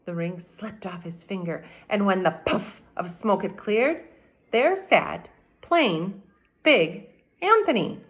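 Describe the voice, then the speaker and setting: A woman's voice: medium pitch, expressive, takes it slowly. A woman in her fifties, a teacher reading a storybook aloud to children, dramatic, with explanatory asides. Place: small room at home, by a window.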